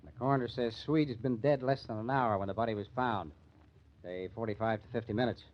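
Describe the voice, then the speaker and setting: A man's voice: mid-range voice, fairly even intonation, brisk, natural voice. Man acting as tough suspicious detective, American accent, mid-range voice, needling, sardonic, old radio drama, muffled recording. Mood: accusing. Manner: needling, hard-boiled interrogation.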